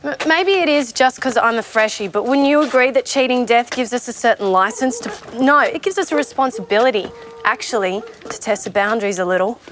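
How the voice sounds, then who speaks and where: A woman's voice: fairly high pitch, expressive, brisk, fairly bright tone, slight background noise. A woman playing a jaded, opinionated vegetarian zombie in a comedy film, talking in a sardonic, sweary, conversational rant. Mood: disillusioned, earnest. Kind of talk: naturalistic short-film comedy acting, mock interview.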